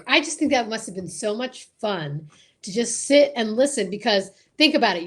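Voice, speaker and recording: Enthusiastic woman chatting on a livestream. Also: on the high side. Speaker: show host. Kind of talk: casual chat on a livestream.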